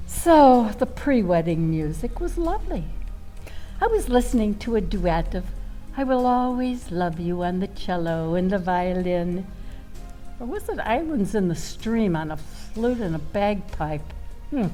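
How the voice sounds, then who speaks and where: A woman playing a flirty, much-married storyteller in a comic stage monologue, with a theatrical, drawn-out delivery. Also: mid-range voice, big pitch swings, dark tone. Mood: wry, indignant. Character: Violet Van Zandt, flirty much-married woman storyteller, dreamy and tipsy-witted. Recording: live theater performance with faint music.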